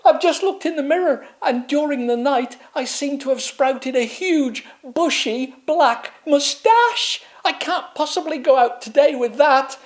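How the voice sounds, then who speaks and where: The storyteller voicing a vain, melodramatic queen in a very high, shrill voice. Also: measured pace, hardly any pauses. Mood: horrified.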